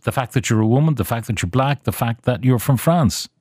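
Measured male radio presenter. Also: mid-range voice, animated, darkish tone, breathy edge, no room sound, clean studio sound. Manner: measured, summarizing questions. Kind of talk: radio interview.

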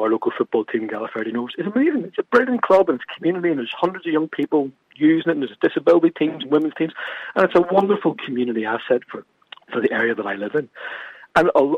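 A fast-talking, husky-voiced man making his case with real passion, stumbling into repeats as he pushes each point. He sounds like he is on a tinny phone line with a dry, close sound. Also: a little high, very animated, no room sound. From from across the water from Scotland. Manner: passionate, emphatic, repeats words when pressing a point. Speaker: guest from an organisation. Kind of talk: radio talk show discussion.

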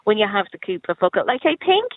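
An Irish woman in her thirties or forties, talking with a Kerry accent. She chats as a guest in a lively, animated way and often breaks off and restarts her sentences. Her voice comes through a tinny phone line with no room sound at all. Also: mid-range voice, fast, husky, dry recording. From Ireland. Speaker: guest comedian. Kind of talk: casual chat. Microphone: phone call.

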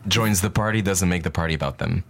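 Low-voiced, thoughtful Portuguese male interviewer. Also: a little fast, creaky. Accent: European Portuguese. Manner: thoughtful, tentative, mixes in English phrases. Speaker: interviewer, host. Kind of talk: conversational interview.

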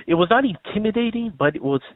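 A man's voice: high voice, expressive, quick pace, no room sound. A man from Brazil with a Brazilian accent, calling in to a radio phone-in and talking earnestly. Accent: Brazilian Portuguese. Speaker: caller. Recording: tinny phone line.

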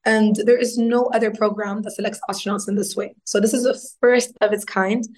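This is a woman, giving interview answers in a steady, even tone that barely rises or falls. Her pitch sits in an ordinary middle range. She explains things earnestly, step by step. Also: brisk. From Egypt. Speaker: guest astronaut.

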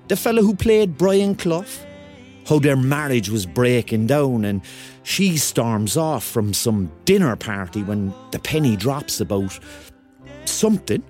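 A man's voice: medium pitch, a little mellow. An actor playing a hapless, self-mocking thirty-year-old man with a Cork accent, telling his story as a rambling, wry confession in a radio drama. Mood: musing. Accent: Cork Irish.

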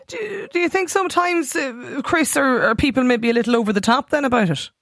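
A woman's voice: high voice, fairly quick, a bit bright, no room sound, studio quality. A woman with an Irish accent hosting a radio phone-in, reading out listener messages. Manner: reads listener messages aloud. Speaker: radio host. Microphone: studio mic.

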